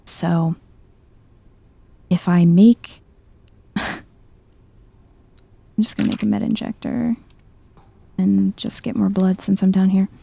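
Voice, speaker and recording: Female ASMR let's player: unhurried, chill, soft-spoken, with some creaky fry in a low-lit, relaxed tone. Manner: chill, musing to herself. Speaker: video game streamer.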